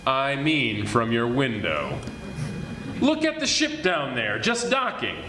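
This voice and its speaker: Man playing a slow, swooping police detective. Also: medium pitch, big pitch swings. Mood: casual, musing. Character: stern, wry male police detective. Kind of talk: 1940s radio detective acting. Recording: roomy echoey stage recording with noise.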